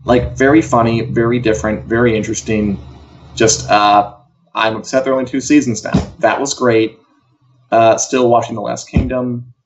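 A man with a middle-pitched voice co-hosts a casual entertainment chat. He is wry and emphatic in how he makes his points. The sound is dull and lo-fi, like a live stream. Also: little pitch movement, normal speed, noisy background. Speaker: co-host of an entertainment live show. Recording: dull, lo-fi audio.

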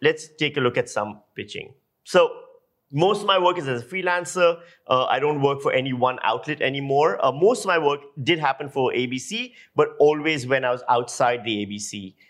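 A man's voice: mid-range voice, lively intonation, moderate pace, a bit bright. Male lecturer and audio journalist from Pakistan. Informal teaching, emphatic and explanatory, asks rhetorical questions. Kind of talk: informal instructional talk.

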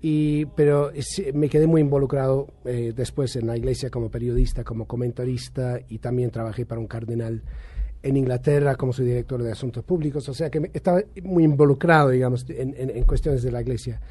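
A man's voice: mid-range voice, steady tone, brisk, mellow. A middle-aged man whose first language is English, speaking Spanish with a British and slightly Argentine accent, answering interview questions thoughtfully with frequent restarts. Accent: Argentine-tinged Spanish over a British base. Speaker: journalist and author, interview guest.

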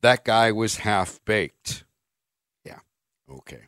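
A middle-aged man hosting sports radio, low-voiced and blunt, with a dry edge of sarcasm as he reads out listener texts. He sits close to a studio mic in a dead, dry room. His delivery is opinionated and off the cuff. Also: lively intonation, slow, a bit bright, smooth, no room sound. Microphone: studio microphone.

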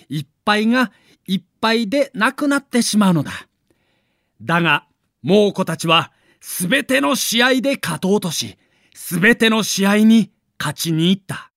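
A male storyteller narrates a scripted sports documentary with a Kansai accent. His voice sits high and swings widely in pitch, giving the telling a theatrical, dramatic shape. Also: fairly bright tone, no echo, studio quality. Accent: Kansai Japanese.

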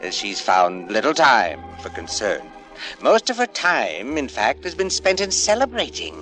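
An actor playing a sardonic narrator who slyly needles the heroine in a 1950s radio drama. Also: male voice, mid-range voice, wildly swooping pitch, a little slow, sharp tone, exaggerated voice, poor audio. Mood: wry. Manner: sly, mocking, addresses the character directly. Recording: vintage radio recording with music behind. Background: music.

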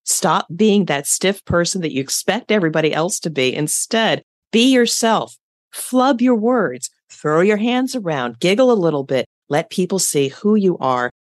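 A woman's voice: on the low side, expressive, normal speed, no room sound, clean studio sound. A middle-aged woman talking conversationally as the host of an interview podcast. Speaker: podcast host, former corporate executive.

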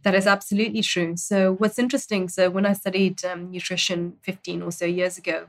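A woman who grew up in Zambia, a dietitian guest on a talk show, explaining calmly and earnestly. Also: fairly low pitch, a little fast. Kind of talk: expert explaining in interview.

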